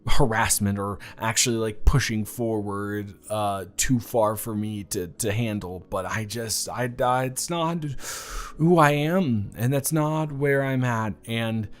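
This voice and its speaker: A young man playing a new classmate in a romance audio drama, speaking to the listener in a halting, flustered way, overwhelmed and stumbling over his words. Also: lowish voice, clear, resonant tone. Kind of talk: one-sided audio roleplay to the listener.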